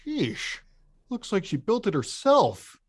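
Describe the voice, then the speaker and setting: Cartoon big lug female performer: hot-tempered, grumbling, very animated intonation, dry recording. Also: medium pitch, takes it slowly, slightly rough, dry recording, full character voice. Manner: grumpy, blustering. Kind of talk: animated film table read.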